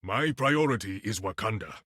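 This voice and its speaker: A proud African warrior king for a video game. His low voice has a husky edge and rises and falls expressively. His phrasing is formal and dignified. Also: lively intonation, sharp tone, dry recording, studio quality, full character voice. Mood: proud, solemn. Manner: formal, regal, measured phrasing. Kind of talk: video game character voice acting.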